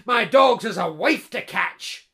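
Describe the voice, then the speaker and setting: A woman's voice: medium pitch, animated, a little slow, creaky, a bit bright. A woman playing a brutish, boorish rich farmer who blusters and threatens. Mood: gruff menacing. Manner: blustering, menacing, coarse.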